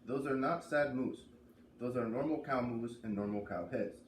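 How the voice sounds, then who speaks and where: A man's voice: mid-range voice, normal speed, echoey room, far from the mic, a little hiss or hum, natural voice. A man voicing a stubborn, snappish older foreign woman who owns a farm, speaking bluntly in broken English. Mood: stubborn, indignant.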